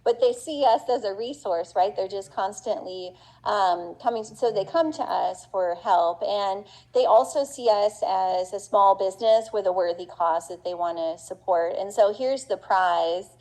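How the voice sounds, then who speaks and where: A woman's voice: mid-range voice, measured pace, hardly any pauses, warm tone, rough recording. A middle-aged woman giving an earnest, chatty conference talk as a business owner, with plenty of fillers and restarts. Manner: earnest and passionate.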